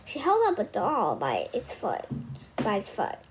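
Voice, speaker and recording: A woman's voice: mid-range voice, huge pitch swings, a little slow, slight background noise, rough recording. A young girl reading a children's book aloud with casual asides, often stumbling and repeating phrases. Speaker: read-aloud host.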